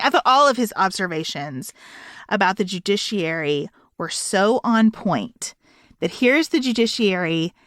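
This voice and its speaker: A woman's voice: medium pitch, a bit bright, no echo, clean studio sound. A woman co-hosting a podcast, discussing a book casually in a thoughtful, articulate way. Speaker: podcast co-host.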